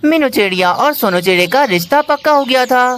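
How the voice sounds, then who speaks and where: A woman reading scripted narration for a children's animated story. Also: sits high, brisk.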